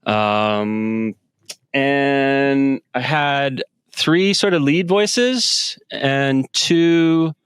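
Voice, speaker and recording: Smooth-voiced man, halting and casual. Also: medium pitch, fairly bright tone, no room sound, clean studio sound. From United States. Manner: halting, many fillers and restarts. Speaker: guest musician. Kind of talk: casual interview talk. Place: home studio with synth rack.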